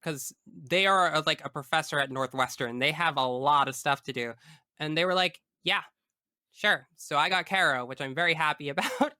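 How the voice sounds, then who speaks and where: A man speaking as a guest in a casual interview, enthusiastic and emphatic in an expressive way. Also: fairly high pitch, normal speed, dry recording, studio quality. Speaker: tabletop game master. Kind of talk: casual interview chat about personal experience.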